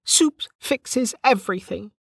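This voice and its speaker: A woman's voice: a little high, animated, takes it slowly, vocal fry, husky, dry recording, clean studio sound, pitched above the performer's own voice, full character voice. A woman voicing a bitter, weary, hot-tempered and foul-mouthed woman in an audiobook, snappish and scornful. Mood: weary, grudging.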